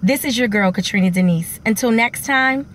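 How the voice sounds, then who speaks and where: A woman's voice: fairly high pitch, moderate pace, slight background noise. A middle-aged woman, a teacher and singer, giving an earnest, encouraging talk to her viewers from a parked car, filmed on a phone held at arm's length. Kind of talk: inspirational vlog talk.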